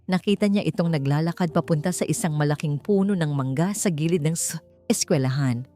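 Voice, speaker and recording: A woman's voice: deep voice, fairly quick, dark tone. A woman reading a scripted story as a dramatic audiobook narrator. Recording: music bed under the voice. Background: background music.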